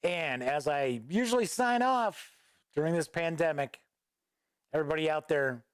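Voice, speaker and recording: A man's voice: on the high side, moderate pace, clean tone, no room sound. Male stream host, casual and rambling, answers chat offhandedly, raised voice, dry close sound. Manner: rambling, reads viewer chat aloud and responds offhandedly. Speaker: host of a gaming news livestream. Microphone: studio microphone at a desk.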